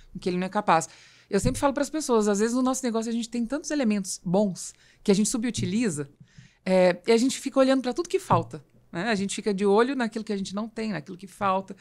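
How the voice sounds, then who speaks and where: A Brazilian woman hosting a business podcast, talking conversationally and explaining her points. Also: medium pitch. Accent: Brazilian Portuguese. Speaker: podcast host.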